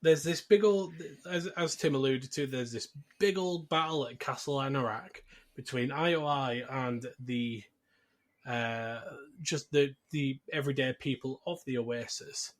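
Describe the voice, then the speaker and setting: A man with a mid-pitched voice recaps a film as a podcast co-host. He speaks conversationally, slipping small acted asides into his storytelling. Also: unhurried.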